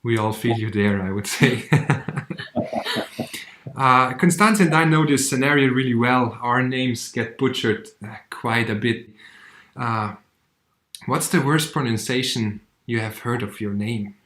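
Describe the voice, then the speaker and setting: A man co-hosts a casual video call chat in an even, mid-pitched voice, putting friendly questions to the guest. His room adds a clear echo. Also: measured pace. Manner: friendly, short backchannel replies. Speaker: co-host. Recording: roomy echo.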